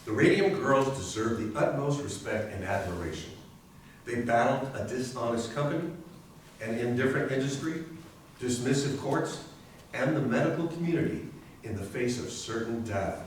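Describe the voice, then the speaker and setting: A man's voice: mid-range voice, lively intonation, normal speed, lightly acted. Solemn governor acted by a man, formal stage oratory, distant mic in a heavily echoing room. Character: formal state governor making a solemn proclamation. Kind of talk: readers theater oratory. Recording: distant mic, very echoey room, faint noise.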